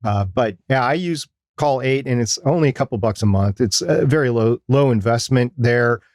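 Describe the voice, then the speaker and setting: Man, middle-aged, podcast co-host. Medium pitch, plain-spoken casual discussion, dry recording. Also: moderate pace, darkish tone, dry recording.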